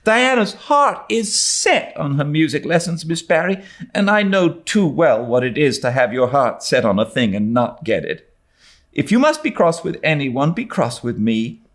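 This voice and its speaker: Man as an earnest high-voiced young girl. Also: big pitch swings, moderate pace. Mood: pleading. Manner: pleading, resolute, tremulous. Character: earnest, imploring, dramatic young orphan girl. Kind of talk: dramatised audiobook reading of a classic novel.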